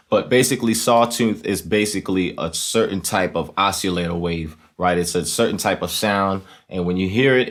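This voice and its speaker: A man hosting an instructional series, explaining things in a casual, conversational way and often checking in with the listener. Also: low-pitched. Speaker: host of a talk box tutorial series. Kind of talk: casual explanatory tutorial talk.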